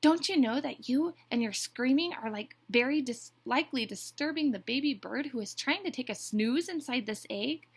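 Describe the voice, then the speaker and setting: Woman voicing an indignant, high-pitched storybook duck. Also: sing-song, unhurried, gravelly, few pauses, a bit bright, heavily acted. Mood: fussy complaining. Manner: exclamatory, huffy, fussy. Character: indignant, bossy male duck, squabbling and scolding. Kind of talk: children's picture book read-aloud.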